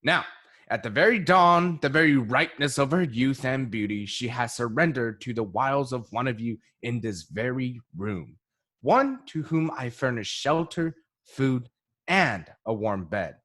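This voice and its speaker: A young man playing a jovial, pompous older gentleman and proud father, making grand theatrical announcements in a radio play. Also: mid-range voice, expressive, unhurried. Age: young adult.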